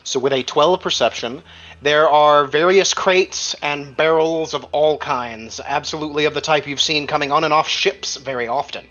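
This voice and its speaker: A man with a bright, forward voice, pitched a little high, narrates a tabletop game as its game master. He talks casually and conversationally. The audio is band-limited, with music underneath. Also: normal speed, highs cut off.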